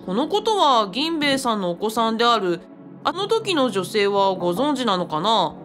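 A woman's voice: a little high, very animated, normal speed, a bit bright. A woman acting a put-upon young man in a radio drama, boyish and grumbling but good-natured. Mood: resigned, willing. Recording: faint music behind the voice.

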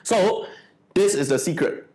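A young man with a Malaysian accent presents a promotional explainer as a coach. His voice sits a little high, picked up by a lapel mic in a small room. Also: expressive, a little slow, a little mellow. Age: young adult. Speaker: e-commerce coach.

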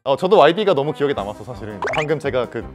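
Lively young man hosting, swooping intonation. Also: mid-range voice, very fast. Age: 20s. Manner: teasing, lively. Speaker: host of a student roundtable. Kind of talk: playful casual hosting chat. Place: large indoor lounge with bean bags. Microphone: clip-on lapel mic. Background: light background music.

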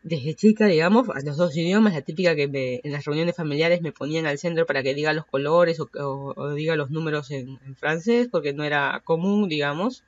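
A woman's voice: low voice, fairly quick, hardly any pauses, lo-fi sound. A Peruvian woman in her thirties with a Peruvian accent, hosting a casual solo podcast and rambling with many fillers and self-corrections. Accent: Peruvian Spanish. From Peru. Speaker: podcast host.

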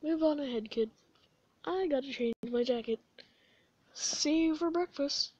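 A woman voicing a laid-back, weary skeleton brother in a comic dub, with a casual, low-key delivery. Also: a little slow, darkish tone, no room sound, muffled recording.

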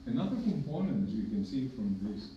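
A middle-aged man from Greece with a Greek accent, speaking on a conference panel as a hepatologist, measured and emphatic with dry humor. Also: medium pitch, very animated, distant mic. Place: conference room with projector. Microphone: podium mic, filmed from far in the room. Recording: echoey room from afar, dull and noisy.

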